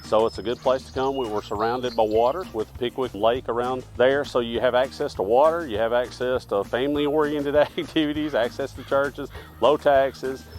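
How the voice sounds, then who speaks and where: A man's voice: mid-range voice, steady tone, moderate pace, few pauses, faint music behind. A man, a town mayor being interviewed outdoors in a park. He talks in a friendly way and often repeats words as he starts a phrase.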